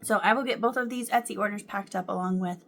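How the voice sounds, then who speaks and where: A young woman talks at home in an easy, chatty way about what she has been crocheting. Her speech is loose and conversational, full of ums and little laughs, with a voice of ordinary middle pitch. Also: quick pace, creaky, fairly bright tone. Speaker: crochet vlogger. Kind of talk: casual chat to camera.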